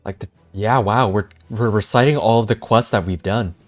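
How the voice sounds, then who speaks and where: A man's voice: lowish voice, moderate pace, soft background music. A man chatting casually and informally as a game streamer on a livestream. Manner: informal, conversational. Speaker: game streamer reacting to a quest.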